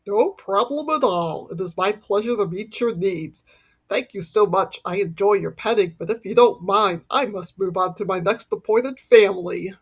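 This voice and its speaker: A woman's voice: mid-range voice, moderate pace, breathy edge. A woman voicing a courteous, dutiful male winged horse with a formal, polite manner in a storybook. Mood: courteous, obliging. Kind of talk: dramatized storybook dialogue.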